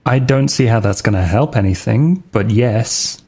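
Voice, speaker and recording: Medium-pitched man roleplaying, thinking aloud. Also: moderate pace, darkish tone, slightly rough. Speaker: player in a tabletop RPG. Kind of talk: casual in-character tabletop roleplay.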